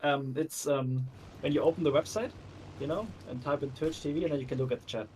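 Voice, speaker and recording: A man's voice: on the high side, fairly quick, a little mellow, slight background noise. A man chatting casually as a co-commentator on a livestream. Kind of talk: casual chat.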